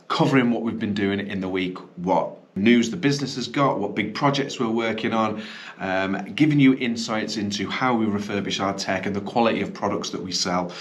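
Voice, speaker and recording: Chatty man with a cough. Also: lowish voice. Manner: chatty and upbeat, with ums and self-corrections. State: slight cough. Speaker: business owner and channel host. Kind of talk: casual vlog to camera. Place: small indoor studio room.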